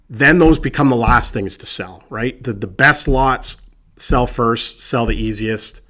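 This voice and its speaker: A man, a real estate agent giving casual, reassuring advice to camera, hoarse from a cold and chatty with lots of fillers. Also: medium pitch, fairly bright tone. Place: indoors with a colleague present.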